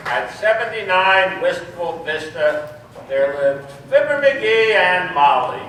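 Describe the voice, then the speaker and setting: A man's voice: high-pitched, expressive, slow, a crowd in the background. A man reading scripted narration as the narrator of a stage show. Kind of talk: scripted narration about old radio. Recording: echoey hall from far off, faint noise.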